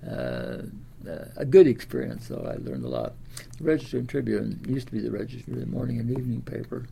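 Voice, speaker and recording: Gravelly ninety-year-old Midwestern American man. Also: mid-range voice, animated, warm tone, slight background noise. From Des Moines, Iowa, United States. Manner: rambling, halting recollection with repeated words. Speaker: interviewee, World War Two veteran. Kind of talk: oral history reminiscence.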